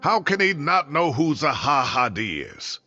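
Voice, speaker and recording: Casting: fussy old game academic, animated and exclaiming, put-upon, somewhat muffled audio. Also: male voice, a little high, a little slow, faint music behind, exaggerated voice. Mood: indignant. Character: fussy, indignant elderly male professor. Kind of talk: scripted video game dialogue.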